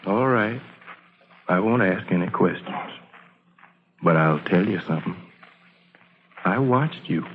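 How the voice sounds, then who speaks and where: Casting: protective Southern working man, lower register, plainspoken, cautious; 1940s-style radio drama on a thin recording. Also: slow, long pauses. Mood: quiet warning. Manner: plain folksy speech, steady and cautioning. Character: kindly, wary Southern laborer, protective of a spy. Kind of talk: old-time radio drama acting. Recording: narrow, noisy vintage radio recording.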